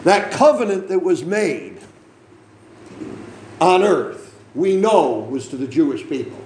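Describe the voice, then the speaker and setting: A man's voice: a little high, sing-song, slow pace, a little mellow, echoey room, slight background noise. A man preaching a sermon, reading scripture aloud and explaining it in a conversational way, with frequent questions to the congregation and joking asides. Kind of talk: sermon, Bible reading and teaching.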